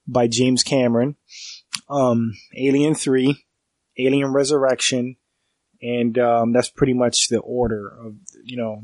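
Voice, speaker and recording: A man talks through a film review for his podcast, his nose stuffed up from a cold. He rambles in a casual way, restarting sentences and leaning on fillers. His delivery stays fairly flat, and the sound is close and dry with no room echo. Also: mid-range voice. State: congested from a cold.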